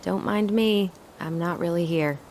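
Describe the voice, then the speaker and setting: A woman's voice: fairly low pitch, unhurried, darkish tone. A woman playing a wry, loyal young sister in a video game, with dry, teasing, naturalistic delivery. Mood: playful banter. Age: young adult. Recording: echoey, clearly noisy outdoor game ambience.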